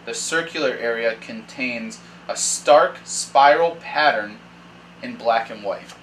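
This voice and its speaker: A young man narrating as dungeon master at a kitchen table, reading room descriptions haltingly and thinking aloud. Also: medium pitch, fairly bright tone, slight background noise, poor audio. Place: dim home kitchen at a table. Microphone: far camera.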